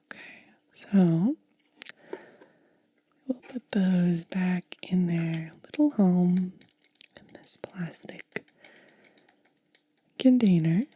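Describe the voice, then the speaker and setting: A woman whispering softly and gently in an unhurried ASMR style, close to the microphone, pausing between short phrases. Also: fairly low pitch, lively intonation, no room sound. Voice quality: breathy, mostly unvoiced whisper. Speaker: ASMR host. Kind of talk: ASMR unboxing, hushed and soft-spoken.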